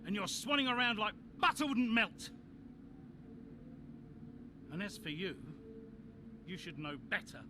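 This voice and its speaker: A hot-tempered racing team boss in a game cinematic. His voice sits high and swings widely, blunt and commanding, over clear vehicle noise. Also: sing-song, measured pace, long pauses. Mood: furious, scolding. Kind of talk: cinematic video game dialogue.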